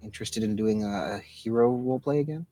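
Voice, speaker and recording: A man's voice: lowish voice, brisk, dark tone, soft background music. A man in casual, chatty livestream banter, talking as a tabletop roleplay gamer.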